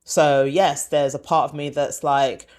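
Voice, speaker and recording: A woman, born in Ghana, a former TV comedy commissioner answering as a guest, emphatic and animated. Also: deep voice, unhurried. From born in Ghana, raised in West London. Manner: emphatic and animated, acts out quotes. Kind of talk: conversational interview answer.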